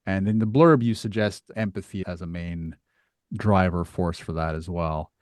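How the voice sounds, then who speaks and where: A man's voice: deep voice, normal speed, a little mellow, dry recording, clean studio sound. A man hosting a conversational interview in a reflective way, often turning statements into questions. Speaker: podcast host.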